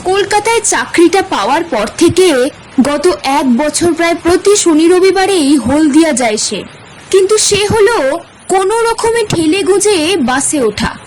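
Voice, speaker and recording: A woman narrates a spooky tale in a high, clear register. Her delivery is measured and steady, a practiced storyteller's pace. A faint hum of ambience sits behind her. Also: poor audio. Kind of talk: scripted horror narration.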